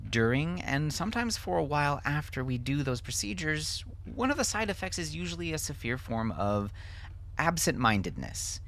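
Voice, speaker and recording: Man playing a weary, reassuring father, animated pitch. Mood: careful, explaining. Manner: halting, careful explaining. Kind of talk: audiobook character acting.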